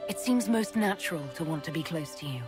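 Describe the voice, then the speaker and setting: A woman's voice: lowish voice, animated, fairly quick, over music, natural voice. An actress playing a self-assured, courtly woman leader in a video game, composed and direct in her delivery. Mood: tender, teasing. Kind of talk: video game cut-scene acting.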